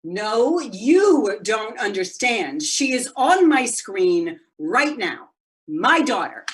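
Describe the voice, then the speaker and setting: A woman playing a frantic, entitled wealthy mother in a play performed as a video call, imperious, agitated and halting. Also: on the high side, very animated, slightly rough, exaggerated voice. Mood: urgent disbelief. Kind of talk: one-act play monologue on a video call.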